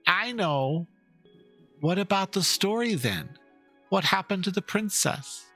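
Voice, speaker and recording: A man's voice: sits high, lively intonation, takes it slowly, a bit bright, faint music behind, voice pushed up, full character voice. A man voicing a confused, curious young girl with a hesitant, plaintive way of talking in a dramatic story reading. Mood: eager, curious.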